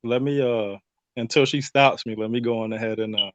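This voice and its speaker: A man being interviewed as a radio drama cast member, talking casually in a halting, reflective, informal way over a call. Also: mid-range voice, fairly even intonation, dull audio.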